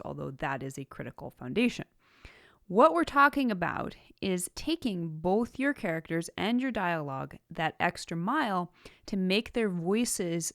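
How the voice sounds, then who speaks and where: A woman hosting an instructional podcast, reading scripted material in a measured, deliberate way with emphatic phrasing. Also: fairly low pitch, dry recording, clean studio sound. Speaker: podcast host, writing teacher.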